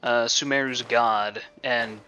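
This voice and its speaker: Casual gameplay commentator, animated and wry, bright voice, slightly dull mic, faint game music. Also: mid-range voice, takes it slowly, clean tone. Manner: wry, joking, animated asides. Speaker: game streamer. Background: video game music.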